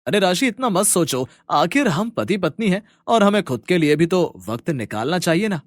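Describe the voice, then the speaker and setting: A man's voice: sits high, big pitch swings, moderate pace, dry recording, clean studio sound. A man voicing an easygoing, scheming young husband in a moral story, in a coaxing manner. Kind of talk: moral story character dialogue.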